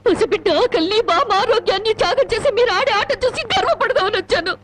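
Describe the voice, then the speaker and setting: A woman's voice: extremely high pitch, lively intonation, quick pace. An actress playing a young wife who bitterly reproaches her gambling husband, sarcastic and tearful, in a film melodrama. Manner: sarcastic, reproachful, breaks into tears.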